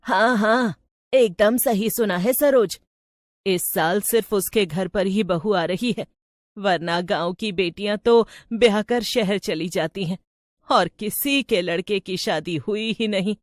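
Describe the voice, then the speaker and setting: A woman's voice: medium pitch, unhurried, no echo, studio quality, natural voice. A woman voicing a cautious, superstitious older village woman in a storybook tale, anxious and colloquial. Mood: chatty.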